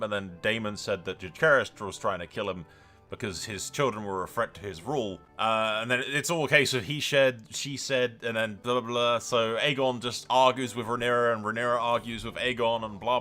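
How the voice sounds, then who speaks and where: A man's voice: fairly low pitch, pushed and bright. A man hosting gameplay commentary with roleplay, sweary and emphatic, rambling and given to theatrical declarations. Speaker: gaming content creator and host.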